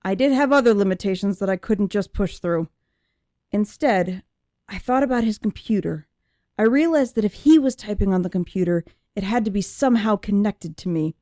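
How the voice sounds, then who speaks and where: Woman as a mellow-voiced, anguished young android. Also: mid-range voice, no echo, clean studio sound, lightly acted. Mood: tense, awed. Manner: emotive, deliberate. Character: young female android with human memories, anguished, searching for herself. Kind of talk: dramatic first-person audiobook narration.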